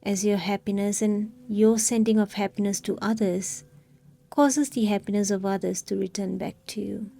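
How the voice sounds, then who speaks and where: Female meditation guide: soft, warm, relaxed timbre, mid pitch, calm scripted delivery with long pauses. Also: creaky.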